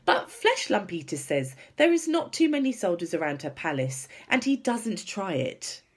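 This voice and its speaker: A woman's voice: medium pitch, very animated, moderate pace, slightly rough, voice pushed up. A woman voicing a big, friendly but stubborn male giant who talks in muddled grammar and invented words for a storybook. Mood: earnest.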